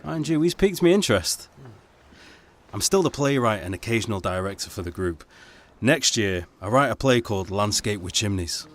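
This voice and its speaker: A man's voice: on the low side, expressive, moderate pace, close to an everyday voice. An actor playing a dry, self-assured male playwright and folk singer in a radio drama. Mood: dry, laconic. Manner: dry, laconic.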